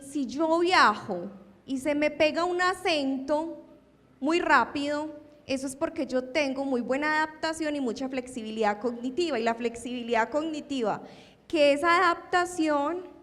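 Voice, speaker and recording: A woman giving a talk at an academic conference, lively and full of rhetorical questions to her audience. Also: high-pitched. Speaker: lecturer. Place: lecture hall. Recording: long hall reverb.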